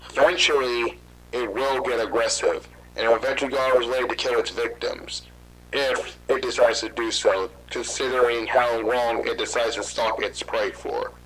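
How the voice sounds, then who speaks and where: A man playing a calm, formal government interviewer. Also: medium pitch, a little hiss or hum, close to an everyday voice, poor audio. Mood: matter-of-fact. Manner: composed, formal, measured. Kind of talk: amateur horror audio drama.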